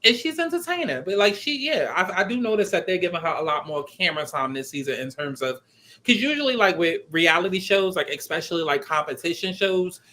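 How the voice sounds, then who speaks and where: A woman's voice: fairly low pitch, lively intonation, a little fast, fairly bright tone. A woman hosting a casual call-in chat, talking agreeably and chattily about a reality show. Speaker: show host.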